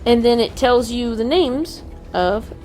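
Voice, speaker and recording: A woman's voice: on the high side, takes it slowly, a little mellow, faint music behind. A woman co-hosting a casual unboxing show, chatty and enthusiastic as she points out details. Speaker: co-host of a toy unboxing show. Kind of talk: casual product show-and-tell. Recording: faint background noise.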